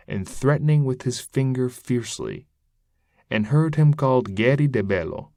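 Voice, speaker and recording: A man reading epic poetry aloud as narrator, in a solemn, measured, declamatory manner. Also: on the low side, darkish tone, no echo, clean studio sound. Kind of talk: dramatic recital of epic verse read aloud.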